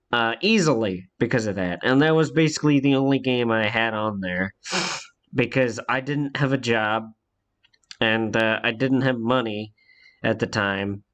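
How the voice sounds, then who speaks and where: Congested young man, slow rambling story. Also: mid-range voice. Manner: rambling, halting, many fillers and restarts. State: congested from a cold. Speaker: YouTuber telling a story. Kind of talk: casual storytime monologue.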